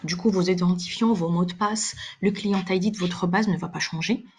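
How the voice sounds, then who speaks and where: A woman presenting a software webinar as a live explanatory demonstration, in a halting delivery with repeated words. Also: fairly low pitch, steady tone, a little hiss or hum.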